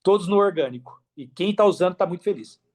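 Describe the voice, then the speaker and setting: A Brazilian man talking casually and enthusiastically as a podcast guest on a video call, with slangy, high-energy delivery. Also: lively intonation, fast talker, a little mellow. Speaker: podcast guest, affiliate marketer. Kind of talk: casual interview chat.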